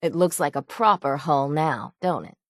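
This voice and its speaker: A woman voicing a gruff, stubborn, hard-bitten shipwright in a video game, with salty, plainspoken seafaring talk. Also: low-pitched, normal speed, dry recording, studio quality. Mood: proud. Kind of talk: video game character dialogue.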